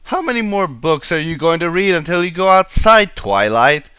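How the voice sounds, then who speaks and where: A man's voice: a little high, big pitch swings, moderate pace, bright, forceful tone, clean tone, dry recording, voice pushed up. A man voicing a baby male dragon helper in a storybook reading, caring but nagging in a boyish, coaxing way.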